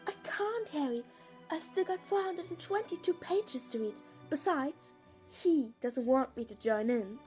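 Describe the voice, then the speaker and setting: A woman's voice: high-pitched, expressive, measured pace, darkish tone, soft background music. A woman playing an earnest, stressed teenage schoolgirl in an audio drama. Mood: stressed, upset.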